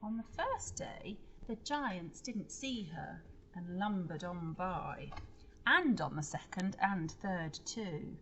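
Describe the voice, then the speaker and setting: A woman's voice: lowish voice, animated, takes it slowly, fairly bright tone, lo-fi sound, slight background noise. A woman reading a storybook aloud to children like a teacher, expressively, with explanatory asides and questions to her listeners.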